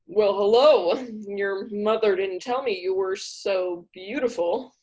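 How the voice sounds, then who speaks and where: A woman's voice: medium pitch, slow pace, darkish tone, lightly acted, rough recording. A woman playing a smarmy, pushy male accountant on a date in a comedic radio play.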